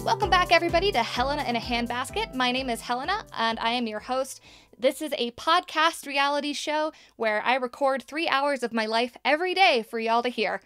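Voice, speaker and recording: A woman's voice: high-pitched, lively intonation, brisk, fairly bright tone, clean tone, no room sound, soft background music. An actress playing a nervous young woman who hosts a podcast, rambling and laughing as she talks. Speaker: podcast host. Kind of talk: audio drama acting.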